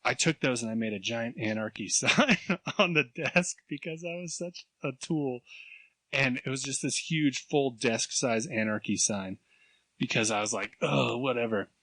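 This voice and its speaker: A man in his thirties chats on a podcast with a bright, forceful voice at a middling pitch. He tells animated stories, acts out other people's voices and laughs often. The sound is close and dry. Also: moderate pace, no echo. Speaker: podcast co-host. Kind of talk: casual chat, storytelling.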